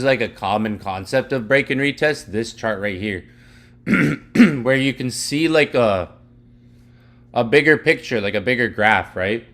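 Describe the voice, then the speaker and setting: A young man teaching live, walking through a price chart in casual, rambling talk full of "like" and "literally", his voice going hoarse and his throat needing clearing. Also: on the low side, fairly bright tone. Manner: rambling, heavy filler "like" and "literally". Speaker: trading educator.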